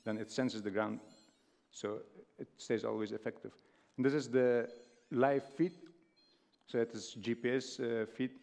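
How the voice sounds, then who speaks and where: A man in his thirties from Afghanistan giving a stage talk, halting with many fillers and restarts. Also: mid-range voice, fairly even intonation, gravelly, darkish tone. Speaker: speaker. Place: large stage hall with audience. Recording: long hall reverb.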